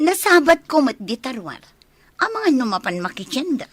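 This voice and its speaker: A woman's voice: high voice, sing-song, moderate pace, fairly bright tone, husky, dry recording, exaggerated voice. A woman acting as a suspicious, nosy schemer in a radio comedy drama, questioning and finding fault. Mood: suspicious, probing.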